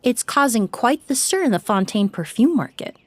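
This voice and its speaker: A woman's voice: a little high, very animated, normal speed, no room sound. A woman playing a refined young perfumer in video game cutscene dialogue, composed and explanatory. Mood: calm. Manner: composed, explanatory, polished delivery.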